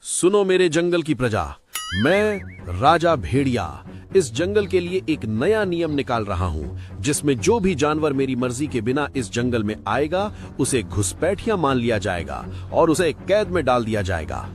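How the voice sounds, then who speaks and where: A man voicing a scheming, sickly wolf who craves a crown, theatrical and self-important, in an animated moral story. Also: mid-range voice, normal speed, over music, close to an everyday voice. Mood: pompous.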